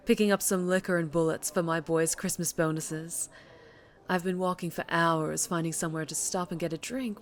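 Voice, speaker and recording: A woman playing a charming, menacing mafia boss in an ASMR roleplay, with a sultry, teasing, unhurried drawl. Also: mid-range voice, natural voice.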